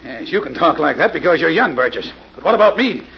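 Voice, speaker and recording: A creaky, agitated older crook. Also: male voice, on the high side, sing-song, quick pace. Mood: aggrieved. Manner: griping, agitated, anxious. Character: nervous older male engineer turned gold-mining crook. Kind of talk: 1940s radio acting. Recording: muffled, noisy old radio recording.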